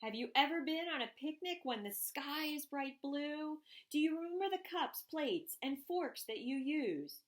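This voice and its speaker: A woman reading a rhyming children's picture book aloud, expressively and deliberately, with emphasised phrases. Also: high voice, a bit bright.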